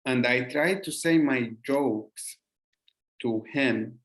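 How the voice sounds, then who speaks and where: A man hosting a casual podcast interview. He asks questions in a halting way, stopping to rephrase them mid-sentence. His pitch is ordinary for a man. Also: a bit bright. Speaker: podcast host.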